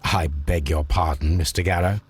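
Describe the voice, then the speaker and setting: An actor playing a composed, probing English detective in a video game, with a formal, measured, politely clipped manner. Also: male voice, a bass voice, steady tone, slightly rough. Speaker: detective questioning suspects. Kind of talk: scripted video game acting.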